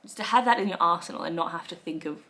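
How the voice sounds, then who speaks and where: A woman in her thirties with an Australian accent, chatting wryly to camera from her living room, with soft laughs and fillers. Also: on the low side, quick pace. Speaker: lifestyle vlogger. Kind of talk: casual talk to camera.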